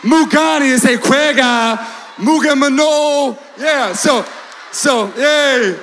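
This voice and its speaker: A man's voice: very high-pitched, slight background noise. An American man performing live comedy on a stage microphone, showy and calling out to the crowd. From United States, California. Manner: showy, drawn-out, shouting to the crowd. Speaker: guest performer. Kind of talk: live stage comedy with sung blues bits. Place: large night-time open-air stage show. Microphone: handheld microphone. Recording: live stage PA, music behind.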